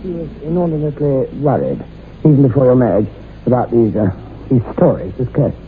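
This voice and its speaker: An actor playing a grave, urbane, insistent psychiatrist with a formal, authoritative manner, in a radio horror drama. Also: male voice, medium pitch, big pitch swings, fairly quick, close to an everyday voice. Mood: grave concern. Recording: tinny, hissy old radio drama recording.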